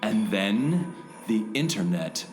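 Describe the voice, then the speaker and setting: A man plays a criminal mastermind in a parody radio play, gloating and sardonic. He takes his time with a lively, swooping pitch. The room echoes and faint music sits underneath. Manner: sardonic, drawn-out, taunting. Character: gloating, smug male criminal mastermind villain. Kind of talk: melodramatic parody radio drama.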